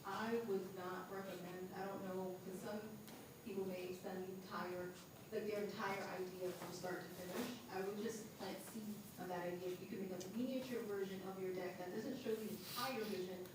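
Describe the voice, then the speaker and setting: A woman with a mid-range voice adds her advice in a conversational way. She is heard from a distance in a large, echoing space. Clear background noise sits under her. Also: mid-range voice, steady tone, moderate pace. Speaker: panelist. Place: large indoor event space. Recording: echoey hall from far off, noisy.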